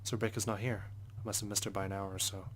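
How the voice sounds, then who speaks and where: A man's voice: on the low side, little pitch movement, rapid-fire, slight background noise. A man reading visual novel narration aloud in an introspective, first-person manner. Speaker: let's play narrator.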